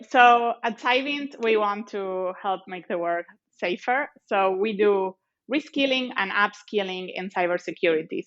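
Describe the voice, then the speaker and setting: A woman from Argentina with an Argentine accent, giving measured, explanatory interview answers as a guest. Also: medium pitch, fairly bright tone. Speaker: guest, company executive. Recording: slightly dull lo-fi audio.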